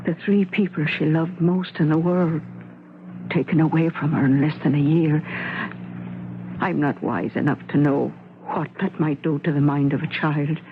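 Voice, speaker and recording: An actor playing a gentle, worried man looking after a child in a 1970s radio drama, grave and concerned. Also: on the high side, fairly even intonation, a little slow. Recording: tinny, faintly noisy old radio broadcast.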